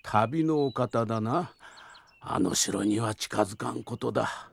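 A man's voice: medium pitch, measured pace, vocal fry. A man playing an elderly, wary and superstitious retired villager in an audio drama, with rustic old-fashioned speech. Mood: grave, warning. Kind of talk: Japanese audio drama acting. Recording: music behind the voice.

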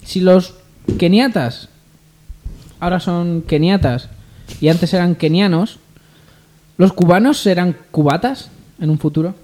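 A man's voice: high voice, unhurried, long pauses, warm tone. A man presenting a joke segment on a radio show in casual comic banter.

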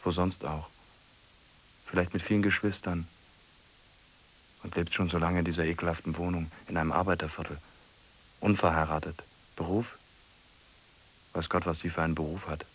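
An actor playing a solitary man musing aloud in a radio play, halting, repeating phrases and muttering questions to himself. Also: low voice, flat delivery, slightly rough. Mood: pondering, resigned. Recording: music playing behind the voice.

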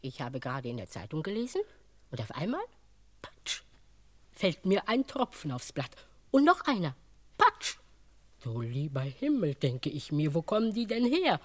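An actress playing an excitable, horrified and chatty household cook, theatrically dramatic, in a radio crime play. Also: female voice, low-pitched, moderate pace, long pauses. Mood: dramatic recounting.

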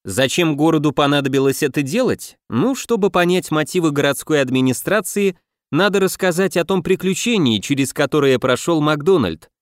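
A man's voice: fairly high pitch, animated, moderate pace, no room sound, clean studio sound. A man reading a scripted self-help book as a narrator, addressing the listener directly. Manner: rhetorical questions to the listener. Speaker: audiobook narrator.

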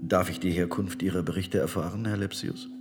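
An actor playing a self-righteous Ottoman minister in a radio play, speaking in a measured, lecturing, dismissive way. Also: low voice, fairly even intonation, lightly acted. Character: cold self-righteous male Ottoman statesman. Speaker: government minister.

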